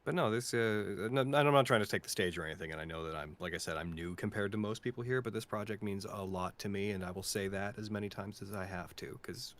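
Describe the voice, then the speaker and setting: A man's voice: lowish voice, fast talker, few pauses, a bit bright. A man talking casually and sincerely on a cast panel, rambling earnestly as he goes. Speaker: cast member of an audio drama. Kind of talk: casual heartfelt panel chat.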